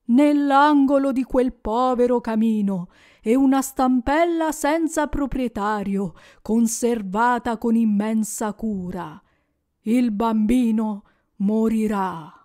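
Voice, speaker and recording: A woman voicing a stern, solemn male ghost in an audiobook, speaking slowly and gravely. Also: on the high side, darkish tone. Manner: slow, grave, reproachful. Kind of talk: audiobook reading.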